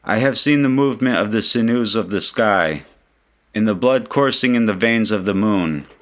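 A man reading translated poetry aloud like an audiobook, in a solemn, measured manner. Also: lowish voice, fairly bright tone. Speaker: reader.

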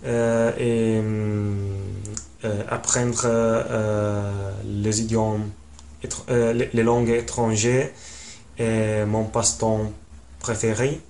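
Young Italian man in his twenties, slow, halting learner's delivery, flat low voice, webcam at home. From Italy. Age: 20s. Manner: halting, many pauses and fillers. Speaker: language learner. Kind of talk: casual self-introduction. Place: small room at home.

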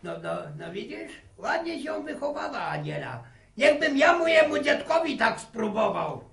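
An actor playing a garrulous, teasing old village grandfather in a radio serial, folksy and rambling, repeating his words in a creaky high voice. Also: big pitch swings, moderate pace, a bit bright, full character voice. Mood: indignant. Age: older. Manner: stammering repetition, folksy rambling. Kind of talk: Polish radio serial acting. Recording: echoey, distant radio studio recording.

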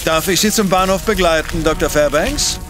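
Actor as pompous, high-voiced male professor detective. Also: normal speed, over music, lightly acted. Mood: urgent, commanding. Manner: pompous, precise, lecturing. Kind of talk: German audio drama acting.

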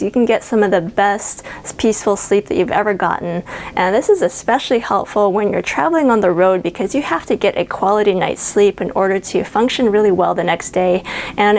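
A woman in her thirties walks through her favorite travel items with an upbeat, friendly manner. She speaks into a clip-on mic in a small bedroom, keeping a vlogger's easy tone. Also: medium pitch. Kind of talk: scripted product walkthrough. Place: small bedroom with a closet, indoors. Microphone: clip-on lapel mic.

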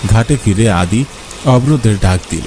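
A man's voice: lowish voice, lively intonation, normal speed, heavy background noise. A man narrating a scripted scary story for a cartoon. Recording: music and rain behind. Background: music and rain sounds.

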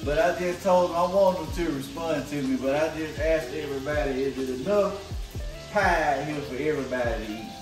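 A man's voice: a little high, fairly quick. A middle-aged man chatting casually and playfully in colloquial speech as co-host of a family vlog, often repeating himself. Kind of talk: casual kitchen vlog chat. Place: home kitchen. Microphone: camera on tripod at a distance. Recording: roomy echo, distant mic, background music.

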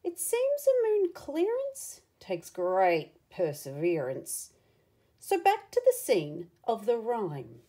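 A woman reading a picture book aloud to children, with expressive, dramatic emphasis and asides to the listener. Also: on the high side, takes it slowly.

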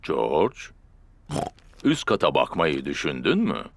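A man's voice: a bass voice, wildly swooping pitch, takes it slowly, creaky, full character voice. A man voicing a jovial, self-assured daddy pig in a children's cartoon dub, with a hearty, boastful, exaggerated delivery. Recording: music under the voice.

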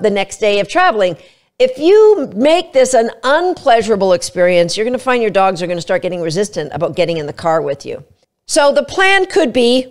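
Woman in her 50s, dog trainer, talks chatty and emphatic into a studio mic, voice a bit on the high side. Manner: chatty, emphatic, brief quoted asides. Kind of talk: casual instructional talk to camera. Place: small studio room indoors. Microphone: studio mic in front of her.

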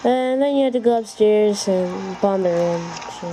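A woman's voice: mid-range voice, moderate pace. A woman giving a casual, plainly worded game walkthrough as host of a gameplay video. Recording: noisy camera recording of handheld game. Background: handheld game audio.

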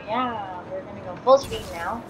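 A woman's voice: mid-range voice, moderate pace. A tired woman with a scratchy voice chatting casually through a racing game session. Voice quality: scratchy morning voice. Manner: chatty, thinks aloud, counts down launches. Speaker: gaming channel host. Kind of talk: casual gameplay commentary. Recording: loud car engine game audio behind. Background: racing game engine noise.

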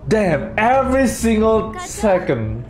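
A man's voice: high voice, big pitch swings, takes it slowly, a little mellow. A man giving casual live reaction commentary, exclaiming and repeating his phrases. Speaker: reaction video host. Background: game trailer music.

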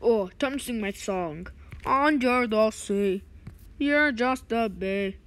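A woman's voice: fairly high pitch, takes it slowly. A woman voicing a carefree cartoon sea creature who mostly sings in a repetitive, sing-song way. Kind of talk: amateur cartoon singing and voice acting.

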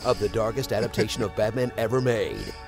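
A man's voice: fairly low pitch, big pitch swings, fairly quick, vocal fry. A man reading a mock movie-trailer narration in a dramatic, sarcastic announcer style. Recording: loud music behind. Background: loud trailer music.

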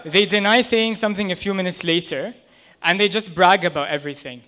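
A man's voice: sits high, brisk, pushed and bright. A man in his thirties doing a stand-up set on a small club stage, talking into a handheld mic in a conversational, self-deprecating way. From United States. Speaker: stand-up comedian. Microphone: handheld stage microphone.

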